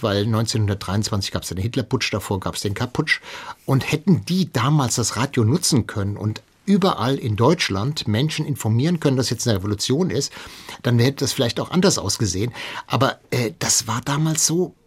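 A man's voice: mid-range voice, lively intonation, a little fast, breathy edge. A man in a radio studio explaining history as an expert interview guest, speaking freely.

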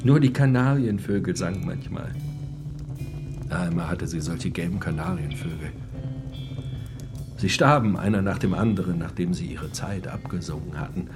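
Low-voiced, brooding radio-play narrator over music. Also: male voice, lively intonation, moderate pace, long pauses. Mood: brooding, hushed. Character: brooding male narrator of a prisoner's inner life. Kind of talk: literary radio drama narration.

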